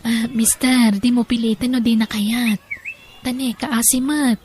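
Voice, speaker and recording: A woman's voice: a little high, fairly even intonation, measured pace, a little mellow, close to an everyday voice. A woman playing an emotive, jilted young woman in an Ilocano audio drama. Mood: friendly, warm. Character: tearful jilted young woman, kind to a child.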